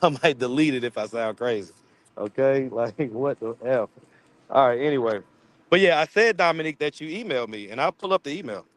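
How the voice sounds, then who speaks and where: A man's voice: medium pitch, animated, a little fast. A man with a slight lisp, hosting a casual livestream and chatting with viewers as he reads out their comments. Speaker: livestream host. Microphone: streaming mic.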